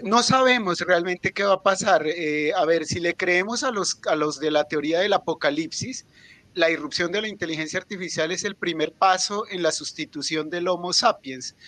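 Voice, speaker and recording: A man's voice: high voice, sharp tone, no echo. A man with a Colombian accent, a guest author explaining his ideas in a didactic interview answer.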